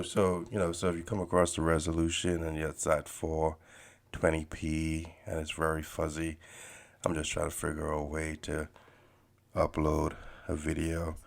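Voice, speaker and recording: Bahamian-raised host, slow, flat, rambling talk while sketching. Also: male voice, very deep voice, creaky. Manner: meandering, many fillers and restarts, laid-back. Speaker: podcast host and artist. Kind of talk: casual rambling chat while drawing.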